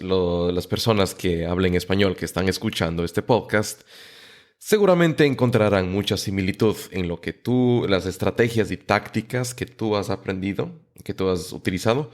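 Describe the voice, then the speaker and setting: Low-voiced podcast host asking questions. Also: male voice. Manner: rambling, reformulating questions mid-sentence. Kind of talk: casual interview.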